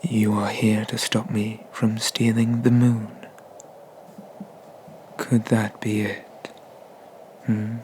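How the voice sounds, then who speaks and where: Man playing a slow, whispering, haughty dragon god. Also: fairly low pitch, monotone, darkish tone, a little hiss or hum. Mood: mocking, menacing. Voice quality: breathy whisper. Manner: slow, pompous, condescending, with drawn-out pauses. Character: ancient male sea dragon god, haughty and menacing. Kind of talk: ASMR roleplay, whispered.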